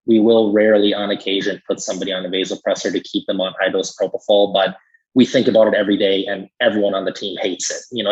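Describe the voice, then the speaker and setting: A man's voice: lowish voice, husky. A man explaining things conversationally as a pharmacist guest in an interview, emphatic when he agrees, on slightly muffled audio. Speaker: critical care pharmacist guest.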